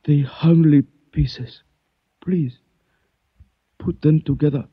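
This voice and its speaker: A man's voice: on the high side, fairly even intonation, takes it slowly, breathy edge, no echo, full character voice. An actor playing a courteous, solemn and resolute Chinese man in a 1940s radio drama, with formal, ceremonious speech. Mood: weak, dying, solemn. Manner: formal, ceremonious, proverb-quoting phrasing. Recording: muffled old-time radio recording.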